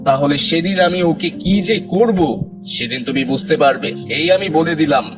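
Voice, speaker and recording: A man's voice: fairly high pitch, normal speed, over music, close to an everyday voice. A man playing a stern, indignant, pious middle-aged father with a dramatic scolding manner in a moral cartoon. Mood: stern, angry.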